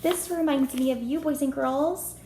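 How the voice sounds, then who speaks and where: A woman reads a picture book to young children in an expressive, teacherly way. Her voice is fairly high with noticeable creak, and she takes her time, pausing for chatty asides. The room echoes around her and there is faint noise. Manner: expressive, child-directed, asking questions and commenting. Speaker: kindergarten teacher reading aloud. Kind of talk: storybook read-aloud with chatty asides to children.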